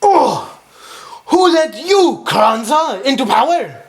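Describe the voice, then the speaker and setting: A man voicing an excitable, defiant revolutionary puppet in an amateur puppet show, with melodramatic shouty delivery. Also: very high-pitched, slow, pitched above the performer's own voice, exaggerated voice. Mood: outraged.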